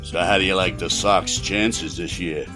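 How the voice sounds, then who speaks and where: A man's voice: deep voice, fairly even intonation, moderate pace, over music, natural voice. An actor playing a chummy, plain-spoken gangster's henchman with slangy speech in a 1920s audio drama.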